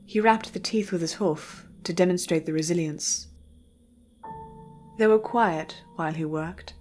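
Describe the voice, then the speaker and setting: A woman reads scripted fiction as narrator. Her voice is on the low side and her delivery is measured and literary. Faint music plays behind her. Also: darkish tone.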